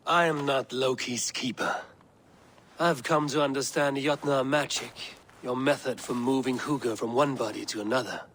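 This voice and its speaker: An actor playing a weary, commanding Norse god in a video game, speaking in a measured, stern way. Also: male voice, mid-range voice, steady tone, fairly bright tone, slight background noise, close to an everyday voice. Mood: guarded, firm. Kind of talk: video game cutscene dialogue.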